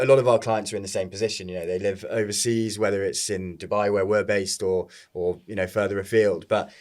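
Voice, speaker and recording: A man hosts a relaxed sit-down interview with a client, guiding him with easy prompts and echoing his points. His voice sits somewhat low and speaks in a plain, friendly tone. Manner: friendly, prompting, repeats guest's words. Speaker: interviewer, firm founder. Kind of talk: casual interview hosting.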